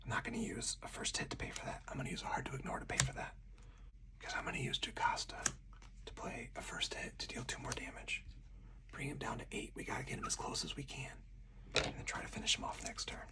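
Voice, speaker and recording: A person whispering softly and breathily in ASMR style, narrating a solo card game move by move as the host. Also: mid-range voice, very animated, quick pace, vocal fry. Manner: hushed, narrating each move aloud step by step.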